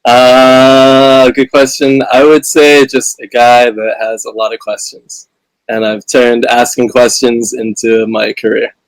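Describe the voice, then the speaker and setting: Young guy, english-born, accent all mashed up british dominican american, relaxed and thoughtful, dry close sound. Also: medium pitch, takes it slowly, clean tone, no echo, rough recording. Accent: mixed British, Dominican and American. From England. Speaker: filmmaker guest. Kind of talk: casual live-stream interview.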